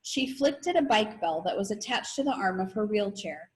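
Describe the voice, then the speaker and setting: A woman's voice: mid-range voice, animated, moderate pace. Woman reading a children's story aloud. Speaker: read-aloud narrator.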